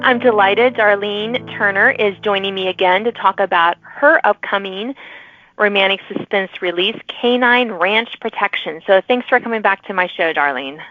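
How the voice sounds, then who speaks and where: A woman's voice: mid-range voice, moderate pace, soft background music. A woman hosting a conversational interview in an affirming, encouraging manner. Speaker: podcast host, interviewer. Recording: narrow phone-like audio.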